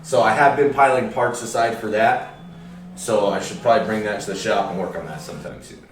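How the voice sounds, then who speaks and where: A man's voice: lowish voice, steady tone, a little fast, a little hiss or hum. A man in his thirties chatting on a casual shop podcast, easygoing and joking. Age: 30s. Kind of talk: casual round-table chat. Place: car shop. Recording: echoey room, mic far away.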